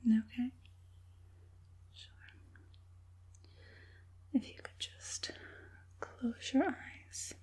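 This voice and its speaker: Casting: a woman as an evil witch puppet master for ASMR roleplay, breathy whisper, slow and coaxing, quietly sinister. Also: a little high, steady tone, heavily acted. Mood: softly businesslike. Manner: unhurried, coaxing, politely sinister.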